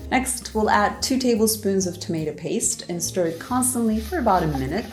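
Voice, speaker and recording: A woman in her forties who grew up in Turkey, hosting a cooking lesson in scripted narration, clearly enunciated and upbeat, speaking into a studio mic on a stand in a home studio set. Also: medium pitch, expressive, moderate pace. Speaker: cooking channel host. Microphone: studio mic on stand in front of her. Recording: roomy echo with faint music.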